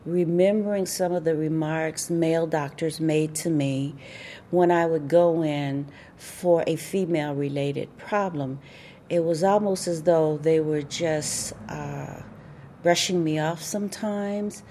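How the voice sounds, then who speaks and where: A woman recounts midlife experiences candidly, like telling a story. Her voice is low and mellow. A faint hum of ambience sits behind her. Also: fairly even intonation, takes it slowly. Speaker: interviewee.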